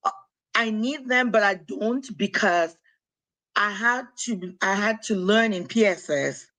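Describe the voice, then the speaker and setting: This is a woman from Cameroon with a Cameroonian accent, speaking as a podcast guest. She talks at a slow, unhurried pace. Her manner is earnest and reflective, with small hesitations as she thinks aloud. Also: medium pitch, lively intonation, a bit bright. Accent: Cameroonian English. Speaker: podcast guest, nurse and author. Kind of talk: casual conversational interview.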